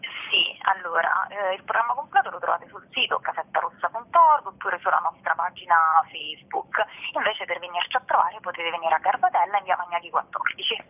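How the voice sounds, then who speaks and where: A woman, speaking as an event organiser and giving information in an interview, with hesitant pauses. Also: lowish voice, expressive, husky. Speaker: festival organiser and spokesperson. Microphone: telephone. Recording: muffled, tinny telephone line.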